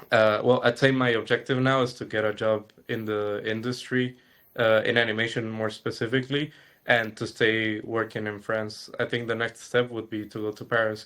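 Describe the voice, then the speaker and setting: A young man answering questions in a webinar, thinking aloud with a hesitant, halting delivery. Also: lowish voice, fairly bright tone. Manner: thoughtful, hesitant, many fillers and restarts. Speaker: student answering audience questions.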